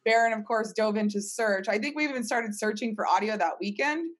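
A woman's voice: fairly high pitch, brisk. A woman talking casually in an interview as a startup team member, retelling things informally. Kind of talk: casual conversational explanation.

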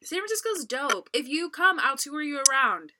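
A woman's voice: sits high, sing-song, normal speed, background noise. A young woman from California with a Californian accent, hosting a casual chat over a video call, bubbly, laughing often and saying "like" a lot. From California, USA. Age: young adult. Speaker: podcast host.

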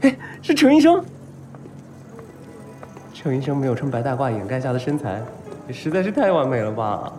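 A man's voice: medium pitch, very animated, normal speed, long pauses, close to an everyday voice. A man acting in an audio drama as a flustered, smitten young patient, stammering and whining his way through his lines. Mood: excited, smitten. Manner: stammering, whiny, exclamatory. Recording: music behind.

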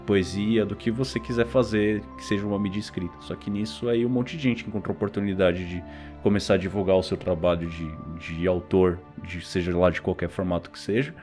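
A man's voice: low voice, steady tone, a little fast, a little mellow, soft background music. A Brazilian man hosting a podcast, chatting casually in informal, slangy speech. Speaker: podcast host. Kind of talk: casual chat.

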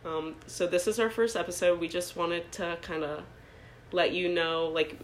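A woman's voice: on the low side, fairly even intonation, normal speed, slight background noise. A young woman from Ohio co-hosting a casual podcast, playful and laughing often, recorded without a proper microphone. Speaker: podcast co-host. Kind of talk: casual chat. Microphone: game controller, no microphone.

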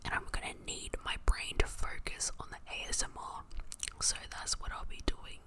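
A woman whispering into a close mic in a casual ASMR chat, softly repeating words and a little out of breath. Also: moderate pace. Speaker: podcast host. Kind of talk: whispered ASMR chat doing online quizzes.

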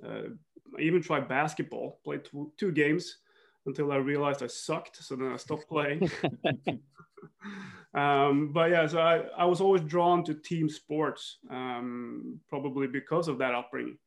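A man's voice: a little high, steady tone, a little slow, creaky. A man from northern Sweden, talking thoughtfully as an interview guest, with many restarts and fillers. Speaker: guest, football coach. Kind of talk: reflective interview talk.

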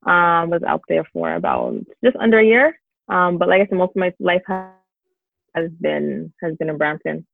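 A woman's voice: fairly low pitch, sing-song, normal speed, creaky, soft background music. A woman, a success coach, answering interview questions earnestly and upbeat, with lots of fillers. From Canada. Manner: earnest, upbeat, many "um" and "you know" fillers. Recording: tinny phone-like line.

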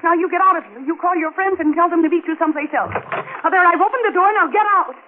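Fast-talking, anxious American housewife. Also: female voice, very high voice. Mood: defiant. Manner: earnest, fretful, pleading. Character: anxious, protective housewife held at gunpoint. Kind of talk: old-time radio thriller acting. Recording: muffled, noisy old radio recording.

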